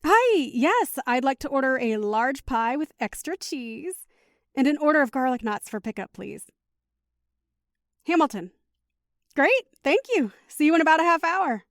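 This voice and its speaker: A woman's voice: high-pitched, sing-song, unhurried, no echo, clean studio sound, full character voice. A woman playing a caring, upbeat middle-aged mother in an audio drama, speaking in a chatty, reassuring way. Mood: cheerful. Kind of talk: naturalistic audio drama acting.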